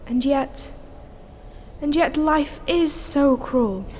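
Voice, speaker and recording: An actress playing a passionate, dreamy young dancer with lyrical, impassioned delivery in a stage-like audio drama. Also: female voice, high-pitched, drawn-out words, darkish tone, slight background noise. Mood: anguished, impassioned.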